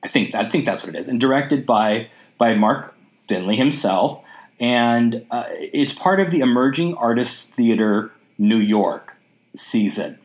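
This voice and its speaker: A podcast host speaking at a mid-range pitch in a casual interview. Enthusiastic, often repeating and stumbling over words while thanking a guest and recommending shows. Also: expressive, unhurried, rough recording.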